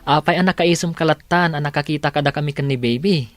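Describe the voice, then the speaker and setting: An actor playing a kind, devoted young man in a radio drama, soft and earnest, with music behind him. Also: a little high, fast talker, no room sound, lightly acted. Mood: gentle. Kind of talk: Ilocano radio drama acting.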